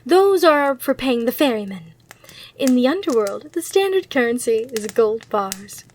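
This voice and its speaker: A sly demon hotel clerk played by a woman speaks in a high female voice for an ASMR roleplay. She is courteous and soothingly salesy, as if serving a guest at the front desk. A faint hiss sits behind her. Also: sing-song, a little slow. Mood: apologetic, patient. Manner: courteous, reassuring customer-service patter.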